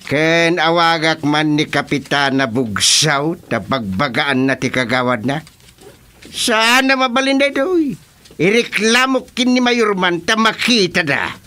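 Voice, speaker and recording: Man playing an aggrieved, high-pitched village chairman, Ilocano accent. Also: lively intonation, measured pace, pushed and bright, a little hiss or hum. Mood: complaining. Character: aggrieved male barangay chairman, sarcastic and complaining. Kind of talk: Ilocano radio comedy drama acting.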